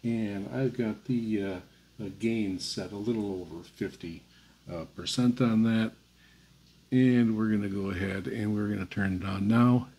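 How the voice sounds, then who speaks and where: A man giving an unscripted hands-on demonstration as host, in a halting, hesitant way. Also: fairly low pitch, fairly even intonation, warm tone, breathy edge. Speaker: host demonstrating radio antennas. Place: indoor room beside radio desk.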